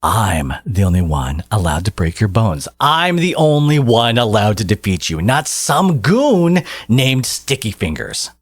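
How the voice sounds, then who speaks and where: Man playing a deep, husky theatrical supervillain. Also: very animated, a little slow, few pauses, no room sound, studio quality. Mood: angry, possessive. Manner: grandiose, exasperated, dramatic emphatic delivery. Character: theatrical male supervillain, possessive, scornful archnemesis tending his rival. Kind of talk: scripted audio roleplay monologue.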